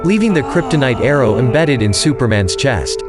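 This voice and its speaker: A man's voice: medium pitch, very animated, normal speed. A man narrating an audio drama in a dramatic, scripted storytelling style. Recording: voice under loud dramatic music.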